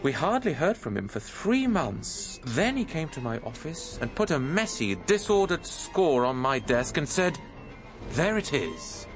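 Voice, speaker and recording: A man's voice: fairly high pitch, sing-song, measured pace. Reflective theatre man, music behind him. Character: male theatre impresario, loyal, exasperated friend of a composer. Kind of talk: radio drama narration and dialogue.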